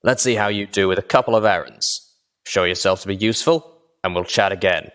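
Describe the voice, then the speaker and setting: A man's voice: low-pitched, a little fast, fairly bright tone. A man voicing a menacing male pony gang lieutenant, sarcastic, curt and impatient, in a storybook reading. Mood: grudging. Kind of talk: audiobook character dialogue.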